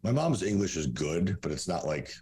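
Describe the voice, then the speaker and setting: A man's voice: low-pitched, big pitch swings, moderate pace, a little mellow, breathy edge. A man in his forties from Russia, whose first language is Russian, speaking as a coach in a candid podcast interview, blunt and profane, rambling with fillers. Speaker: fitness coach and guest.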